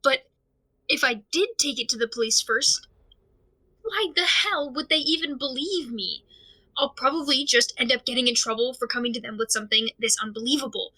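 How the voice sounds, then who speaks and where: A woman acting in an audio drama as an anxious, overwhelmed amateur investigator, talking through worries alone in a halting, stammering, rambling way. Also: high-pitched, pushed and bright, poor audio. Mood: worried, flustered. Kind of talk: audio drama monologue, recorded diary.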